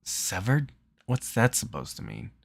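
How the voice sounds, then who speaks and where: A man voicing a sharp, skeptical young woman with a pointed, questioning manner. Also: fairly low pitch, huge pitch swings, unhurried, vocal fry, no room sound, studio quality, heavily acted. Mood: irritated.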